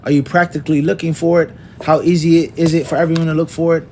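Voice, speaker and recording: Man, middle-aged, lecturing, emphatic list-making delivery, slightly high voice, faint background rumble. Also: little pitch movement, quick pace, a little mellow. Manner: emphatic, lists points one by one with rhetorical questions. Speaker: Islamic teacher.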